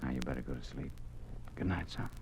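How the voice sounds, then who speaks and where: A man playing a caring, quietly troubled American father, measured and understated, in a 1950s radio drama. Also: extremely low pitch, breathy edge, heavily acted. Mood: tender, grave. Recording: old radio recording with faint noise.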